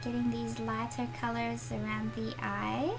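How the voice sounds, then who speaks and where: This is a middle-aged woman with a South African accent, hosting a live drawing session from her studio. Her voice is high and her delivery leisurely, and she reads out viewer comments as she works. Faint music plays behind her. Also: little pitch movement, unhurried, creaky. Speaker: art channel host. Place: home art studio.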